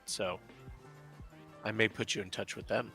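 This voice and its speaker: A man from Dallas speaking as a guest in a relaxed interview, halting and restarting his sentences. His voice is low yet bright and forceful. Faint music plays underneath. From Dallas, Texas. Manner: hesitant, many fillers and restarts. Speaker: guest, business owner. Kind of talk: casual interview chat.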